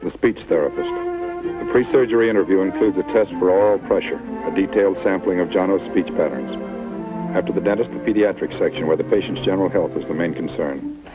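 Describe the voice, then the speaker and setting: A man's voice: low-pitched, a little fast, lightly acted. A man playing a solemn, authoritative narrator of a 1950s television medical drama. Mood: matter-of-fact. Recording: tinny, band-limited old TV soundtrack. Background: music.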